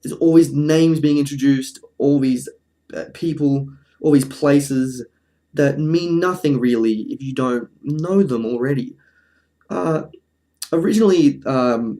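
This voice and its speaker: Mellow-voiced man giving casual commentary. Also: mid-range voice, a little slow. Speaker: presenter of a film review video essay.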